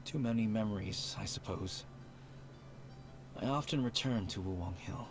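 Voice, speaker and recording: An actor playing a troubled elderly man in a video game, wistful and halting as he speaks. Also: lowish voice, vocal fry, noisy background. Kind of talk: video game character acting.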